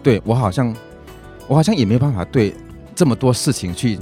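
A man's voice: mid-range voice, expressive, a little fast, warm tone. A man with a Taiwanese Mandarin accent in a casual interview as an activist guest, speaking earnestly and repeating himself for emphasis. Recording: music playing behind the voice.

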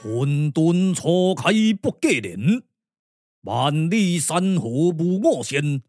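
A man's voice: fairly high pitch, very animated, darkish tone, no room sound, pitched below the performer's own voice, full character voice. A man voicing a proud, wrathful ancient Taoist immortal in a Taiwanese puppet-theatre radio drama, declaiming grandly with a Taiwanese accent. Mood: lofty, solemn. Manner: grandiose, declamatory, drawn-out chanting.

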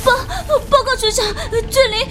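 Squeaky junior officer, extremely high voice, panicky, making a report in an audio drama. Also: female voice, fairly even intonation, moderate pace. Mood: frightened, shaken. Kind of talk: Chinese audio drama acting.

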